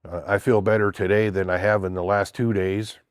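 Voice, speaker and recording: A man's voice: lowish voice, level tone, a little fast, clear, resonant tone, no echo, clean studio sound. An older man with a stuffy, congested voice from a cold, giving a blunt, indignant opinion monologue in a casual vlog. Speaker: political vlogger.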